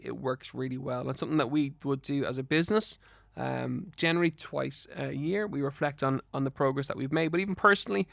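A man's voice: mid-range voice, gravelly, a bit bright, no room sound, studio quality. An Irish man with a slight lisp hosting a health podcast solo, giving advice in an earnest, encouraging, instructive way. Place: studio.

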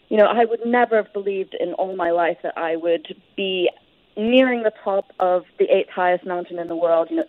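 A woman's voice: lowish voice, no room sound, narrow, tinny audio. Woman guest on a band-limited line. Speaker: guest author. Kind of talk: conversational interview answers.

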